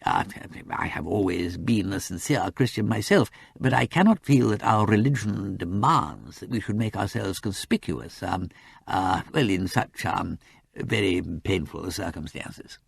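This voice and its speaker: A man's voice: low-pitched, animated, gravelly, husky, no echo, clean studio sound, heavily acted. A man voicing an elderly, fussy male lawyer who hesitates and halts often. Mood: fretful. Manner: hesitant, halting with ums.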